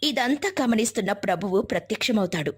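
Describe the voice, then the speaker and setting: A woman's voice: medium pitch, animated, brisk, breathy edge. A woman narrating a scripted moral story as a storyteller.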